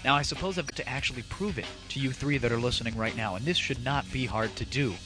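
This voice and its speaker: A man's voice: medium pitch, fast. A man hosting a gaming audio show with a sarcastic, theatrical, mock-grandiose comic monologue. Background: music.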